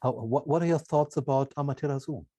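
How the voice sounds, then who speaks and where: A man interviewing in a reflective conversation, talking in measured, halting short phrases. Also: mid-range voice, dark tone, no echo, clean studio sound.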